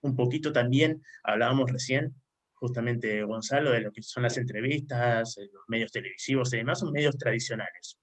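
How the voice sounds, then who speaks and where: A man's voice: mid-range voice, fairly quick. A man from Argentina with an Argentine accent, speaking as a panelist in an online panel discussion. He explains his points at length and often checks in with a questioning "¿sí?". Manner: frequent questioning "¿sí?" tags, explanatory. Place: remote online conference.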